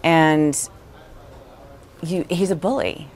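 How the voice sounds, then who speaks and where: A woman recounting a personal experience in an interview, speaking in a halting, earnest way. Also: low-pitched, a little mellow. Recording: noisy interview clip playing.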